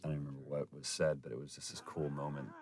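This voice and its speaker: A man's voice: rumbling low, level tone, quick pace, darkish tone. A man giving casual, reflective actor's commentary, wordy and emphatic as he recalls scenes.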